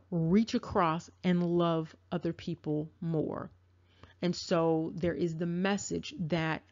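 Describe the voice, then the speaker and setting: A woman giving spoken commentary to her viewers, deliberate and emphatic, with a repetitive cadence. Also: on the low side, darkish tone. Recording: dull lo-fi audio.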